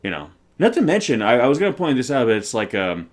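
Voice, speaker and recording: A man's voice: medium pitch, very animated, fast talker. A man co-hosting a trailer reaction video, talking at length in an earnest, opinionated way and asking rhetorical questions. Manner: earnest, long-winded, asks rhetorical questions. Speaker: co-host of a movie trailer reaction channel. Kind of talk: casual opinionated movie talk.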